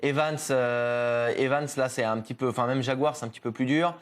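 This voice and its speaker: A man's voice: mid-range voice, fairly even intonation, brisk. Chatty male race commentator. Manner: chatty, hesitant, many fillers and restarts. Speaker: motorsport commentator tied to a team.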